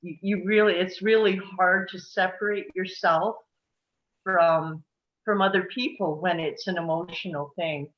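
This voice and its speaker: Middle-aged woman, unhurried delivery, earnest, casual discussion, dulled recording. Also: lowish voice, fairly even intonation, lo-fi sound. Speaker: table read participant. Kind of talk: casual post-reading discussion.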